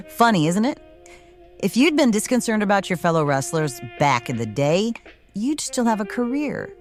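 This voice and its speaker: An actress playing a smirking, cruel wrestling boss in a noir audio drama, with a taunting, theatrical manner. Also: female voice, medium pitch, sing-song, a little slow, soft background music. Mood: smug, cruel. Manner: taunting, theatrical, hums while eating.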